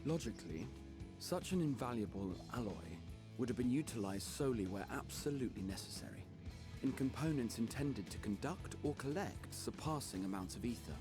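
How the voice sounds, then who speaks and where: A man playing an erudite, measured scholar in a video game, formal and precise. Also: mid-range voice, lively intonation, music in the background, natural voice. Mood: thoughtful. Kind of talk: video game cutscene acting.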